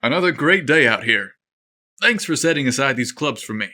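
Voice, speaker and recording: A man voicing a confident, charming male golfer who speaks in a relaxed, friendly and slightly flirtatious way. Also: medium pitch, fairly quick.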